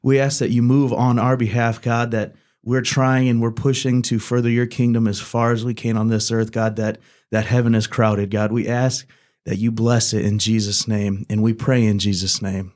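A man's voice: medium pitch, level tone, moderate pace, a little mellow. Earnest young man hosting a church podcast. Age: 20s. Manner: earnest, repeats "God" between phrases. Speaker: podcast host. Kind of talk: casual church podcast chat with opening prayer.

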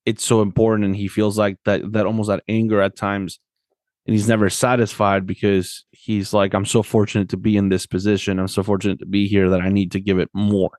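A man with a fairly low voice chats casually about sport as a podcast host. The recording is dry and close, and he talks conversationally, often tagging his points with a check for agreement. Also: level tone, fairly quick, a little mellow, no room sound. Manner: conversational, often seeks agreement with tag questions. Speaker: podcast host and soccer coach.

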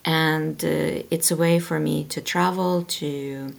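Gravelly-voiced woman, slow and thoughtful. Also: deep voice, steady tone. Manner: thoughtful, hesitant, many fillers. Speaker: guest, author and translator. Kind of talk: interview conversation.

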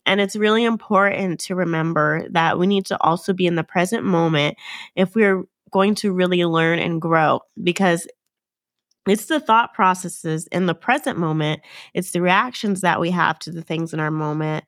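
A woman's voice: fairly low pitch, moderate pace, a bit bright, no echo, studio quality. A woman hosting her own podcast, talking casually to listeners, sounding nasal from a cold and often correcting herself. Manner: chatty and upbeat, fillers and self-corrections. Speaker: podcast host. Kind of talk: casual solo podcast talk.

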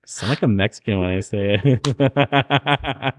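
A man's voice: medium pitch, takes it slowly, slight background noise. A young man hosting a podcast, chatting casually with a friend, joking around and laughing often. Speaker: podcast host.

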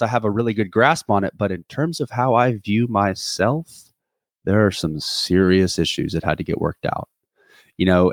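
A man's voice: fairly low pitch, dry recording, studio quality. A man in his twenties talking through a reflective solo podcast, earnest and rambling, with many restarts and fillers. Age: 20s. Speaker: podcast host.

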